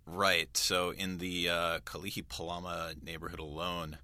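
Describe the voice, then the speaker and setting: A man's voice: deep voice, little pitch movement, unhurried, sharp tone, no room sound. A man speaking as a news reporter, explaining a story informally in a radio conversation.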